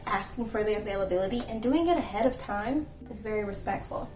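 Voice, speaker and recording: A young woman hosting her own channel, giving earnest, gently instructive etiquette advice and acting out sample phone calls. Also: fairly high pitch. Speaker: channel host and etiquette creator. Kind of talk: casual etiquette advice vlog. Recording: echoey room, voice from a distance, faint music.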